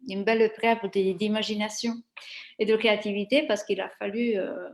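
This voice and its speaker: A woman's voice: mid-range voice, level tone, moderate pace, reverberant. A woman in her fifties from Italy, speaking French with an Italian accent, answering as an interview guest in a hesitant, reflective way with many fillers.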